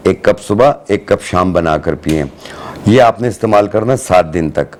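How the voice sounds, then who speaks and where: A man, a hakeem on a TV morning show, calmly giving remedies step by step. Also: sits low, normal speed, a little hiss or hum. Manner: calm, step-by-step instructive. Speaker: hakeem giving herbal advice. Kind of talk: studio chat, giving remedies. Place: TV studio.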